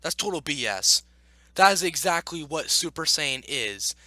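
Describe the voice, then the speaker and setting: A man's voice: on the high side, big pitch swings, a little slow, sharp tone, no echo. A man giving opinionated, casual commentary as a video host, arguing emphatically and repeating his points. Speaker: video host reacting to an article. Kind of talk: opinionated casual commentary with read-aloud passages.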